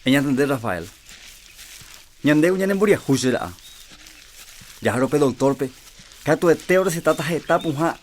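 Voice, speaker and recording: A man's voice: a little high, lively intonation, moderate pace, pauses often, a little hiss or hum, natural voice. A man playing a casual, skeptical footballer. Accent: Paraguayan. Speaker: Roberto, a football team player. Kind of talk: educational radio drama acting.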